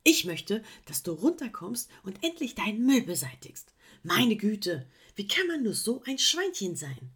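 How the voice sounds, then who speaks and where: A woman voicing a fussy, indignant female koala who scolds in a shrill, insistent way. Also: very animated, a little slow, fairly bright tone, breathy edge, exaggerated voice. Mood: lecturing.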